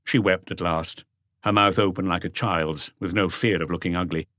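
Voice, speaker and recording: A man reading scripted literary narration as an audiobook narrator, with a measured storytelling delivery. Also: low voice, steady tone, a bit bright, dry recording, studio quality.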